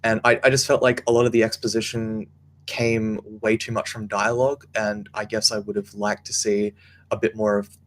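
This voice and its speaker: A man gives casual notes on a script in a rather low, flat voice with very little pitch movement. A faint noisy hum sits behind him. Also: moderate pace. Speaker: table reader giving script feedback. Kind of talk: casual spoken critique. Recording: clear background noise and hum.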